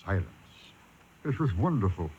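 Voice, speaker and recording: A man's voice: deep voice, very animated, normal speed, slight background noise. An actor in a radio horror drama playing a haunted, reclusive widower who tells of his downfall in a brooding, theatrical way. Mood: grim, haunted. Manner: brooding, theatrical, suspenseful delivery. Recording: dull vintage radio broadcast, loud music behind.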